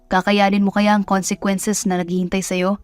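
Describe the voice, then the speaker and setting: A woman's voice: medium pitch, little pitch movement, moderate pace, dry recording, faint music behind, close to an everyday voice. A woman voicing a blunt, sensible friend in an audio drama, matter-of-fact and admonishing. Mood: cautioning.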